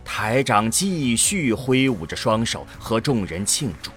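A man's voice: medium pitch, very animated, a little slow, fairly bright tone, soft background music. A man narrating a scripted science fiction audio drama in a dramatic storytelling manner.

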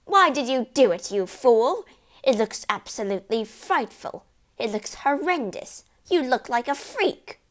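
A woman's voice: mid-range voice, very animated, measured pace. A woman voicing a scornful, scolding storybook mother, exasperated and apt to shriek at her husband. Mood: appalled scorn.

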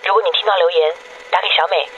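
A woman's voice: on the low side, racing, a bit bright, lightly acted. A woman playing a devoted, wistful young girlfriend leaving affectionate, confiding voicemails in a radio drama. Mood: worried, pleading. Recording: dull noisy answering-machine message.

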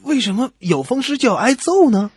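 Lively male radio comedy host, slightly husky. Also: extremely high pitch, fairly quick, no room sound, clean studio sound. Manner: lively, acts out dialogue. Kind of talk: comedy radio joke-telling.

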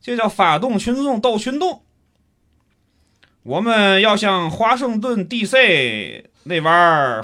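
Man acting a swaggering Tianjin schemer, swooping high-pitched voice. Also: sharp tone, full character voice. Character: grumbling city man and pompous scheming bureaucrat. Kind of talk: comic audio drama acting.

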